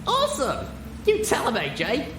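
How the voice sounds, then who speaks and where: A cocky young pegasus mare acted by a woman, high and wildly animated in delivery. She talks in casual, punchy bursts of swagger for a storybook reading. The recording is roomy and distant from the mic. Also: normal speed, background noise. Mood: brash, eager. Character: brash, cocky young female pegasus pony, eager and boastful. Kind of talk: character acting in an audiobook reading.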